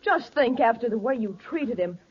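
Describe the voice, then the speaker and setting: A woman's voice: fairly high pitch, animated, moderate pace, natural voice. A woman playing a scolding, mock-serious character in a 1940s radio comedy. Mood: reproachful. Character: scolding woman talking earnest nonsense. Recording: dull 1940s radio, studio audience.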